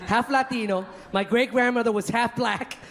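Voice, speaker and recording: A man from Colombia doing a stand-up set on a comedy club stage, loud and exuberant as he works the crowd. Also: extremely high pitch, steady tone, moderate pace, gravelly.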